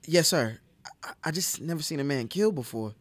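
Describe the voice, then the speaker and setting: Man as a timid, higher-voiced greenhorn deputy. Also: lively intonation, moderate pace. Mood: sheepish, dazed. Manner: hesitant, stammering. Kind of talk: western audio drama acting.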